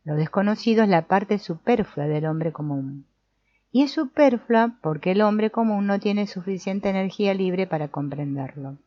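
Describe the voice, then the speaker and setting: A woman's voice: medium pitch, no echo, natural voice. A woman reading as an old sorcerer teacher. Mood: explaining. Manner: measured, explanatory reading with small restarts. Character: old male sorcerer teacher, calm and didactic. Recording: dull, muffled audio.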